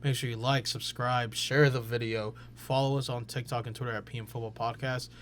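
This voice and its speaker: A man's voice: mid-range voice, flat delivery, fairly quick, slight background noise. A young man co-hosting a sports podcast, arguing his picks in assertive bursts. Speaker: podcast co-host. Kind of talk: casual sports debate.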